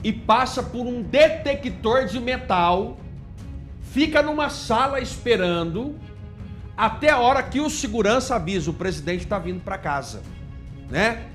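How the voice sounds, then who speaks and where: High-pitched Brazilian man, indignant commentator. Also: animated, measured pace, fairly bright tone, faint music behind. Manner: indignant, emphatic, mocking. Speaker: video commentator. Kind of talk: informal political commentary.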